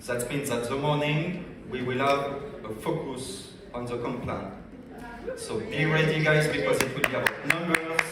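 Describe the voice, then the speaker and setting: A man from France with a strong French accent gives a lively business training talk at a convention, often asking the audience questions. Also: mid-range voice, normal speed, heavy background noise. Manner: energetic, addresses audience directly with questions. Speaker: business development director. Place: large convention hall. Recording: echoey hall, speaker far from mic.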